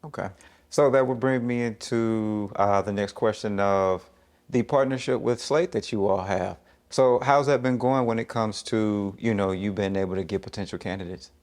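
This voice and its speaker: A man with a fairly low voice hosts a local television interview. He speaks informally, moving between questions and short affirmations. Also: normal speed, a little mellow. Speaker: show host interviewer. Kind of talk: interview hosting on a local TV show.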